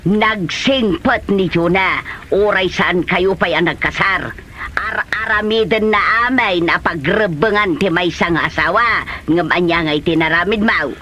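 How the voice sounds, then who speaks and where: A woman's voice: on the low side, unhurried, sharp tone, slight background noise. A woman acting as a stern, exasperated mother berating her grown son in an Ilocano comedy drama. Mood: scolding. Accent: Filipino, Ilocano.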